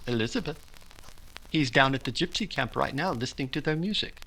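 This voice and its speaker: A man acting an eager, good-natured young gentleman in love, effusive, in a 1940s-style radio drama. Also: medium pitch, expressive, fairly quick, low-quality recording. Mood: excited, happy.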